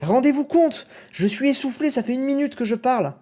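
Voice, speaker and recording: A young man reacting to his own old video on a live stream, animated, exclamatory and self-mocking. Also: very high voice, a little fast.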